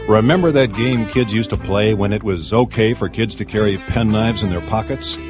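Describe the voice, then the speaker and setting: A man narrating first-person detective fiction in a measured, deliberate storytelling manner. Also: fairly low pitch, over music. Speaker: audiobook narrator.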